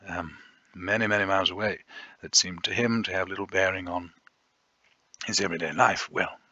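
A lower-voiced teacher talks through a poem over slides in a chatty, self-deprecating way, stopping often to collect his thoughts. His tone is bright and forceful, and the dry, dull recording sounds slightly lo-fi. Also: dry recording.

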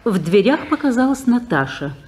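A woman's voice: a little high, sing-song, normal speed, darkish tone. A woman reading the narration of an old radio play, matter-of-fact.

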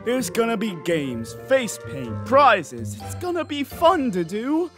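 A man playing an excitable, hot-tempered young prince in a comedic parody dub, devoted and theatrical. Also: very high-pitched, slow, over music, heavily acted. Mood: cheerful, eager. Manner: theatrical, foul-mouthed outbursts, earnest pleading.

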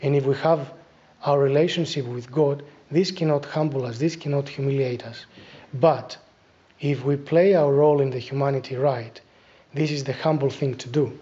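A middle-aged man with a Greek accent giving a spiritual talk as an Orthodox abbot, deliberate and instructive, pausing between phrases. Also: medium pitch, steady tone, mellow, muffled recording. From Greece. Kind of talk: spiritual talk, reflective preaching. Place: small church, indoors at a lectern.

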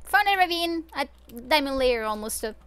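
A woman's voice: high-pitched, lively intonation, moderate pace, a bit bright. A young woman from Switzerland chatting casually while livestreaming a game, rambling with many fillers and little laughs, into a desk microphone at home. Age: young adult. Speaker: streamer. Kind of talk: casual live gameplay chat. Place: home room.

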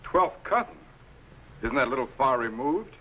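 A man's voice: medium pitch, very animated, moderate pace, sharp tone, rough recording. A man playing a dry, authoritative explorer captain in a 1950s sci-fi series, speaking precisely. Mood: wry. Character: wry, authoritative male space explorer captain. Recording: vintage film soundtrack, faint noise.

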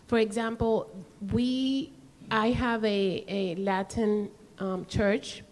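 A native Spanish-speaking woman making an earnest, halting comment from the audience at a lecture. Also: medium pitch, little pitch movement, darkish tone, poor audio. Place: indoor hall.

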